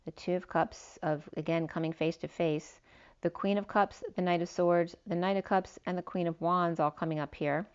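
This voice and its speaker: Woman, lowish voice, slightly hoarse, tarot reader rambling through her spread on a dull lo-fi recording. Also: steady tone, normal speed. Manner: meandering, listing cards with fillers. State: losing her voice a little. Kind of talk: spiritual tarot reading. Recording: dull lo-fi sound.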